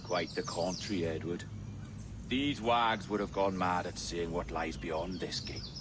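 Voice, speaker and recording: An enigmatic pirate captain in a game cutscene. His low voice stays measured, cultured and quietly cryptic. Ambient game noise runs clearly under him. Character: enigmatic male pirate captain, calm and cunning. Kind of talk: video game cutscene acting.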